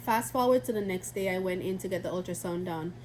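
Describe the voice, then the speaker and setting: A woman's voice: fairly low pitch, fast talker, a little mellow, slight background noise. Stuffy-nosed young woman telling a story. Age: young adult. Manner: chatty, rambling, many restarts and fillers. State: stuffy from a cold. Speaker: vlogger. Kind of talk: casual storytelling to camera.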